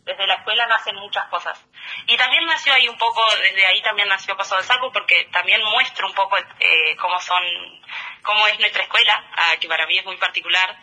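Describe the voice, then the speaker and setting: An Argentine woman in her thirties, guest on a radio interview, with a very bright, sharp voice. She talks loosely and hesitantly, laughing often between thoughts. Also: mid-range voice, fairly quick, low-quality recording. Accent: Argentine Spanish. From Argentine Patagonia. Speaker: teacher and tourism guest.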